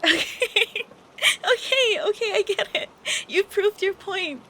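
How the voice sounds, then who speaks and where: A woman plays a young best friend who is sweetly smitten, teasing and a little nervous. Her voice is high and soft, and she takes her time in a hesitant, close-up audio roleplay manner. Light, steady rain runs behind her. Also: measured pace, slight background noise, exaggerated voice. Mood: giddy. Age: young adult. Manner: soft, hesitant, giggly, affectionate. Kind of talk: intimate roleplay audio acting, girlfriend-experience monologue.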